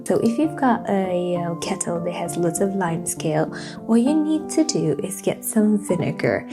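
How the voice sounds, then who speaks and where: Give the voice of a woman from Romania narrating her lifestyle vlog. She talks in a chatty, personal way at an average pitch for a woman. Guitar music plays clearly behind her. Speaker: lifestyle vlogger.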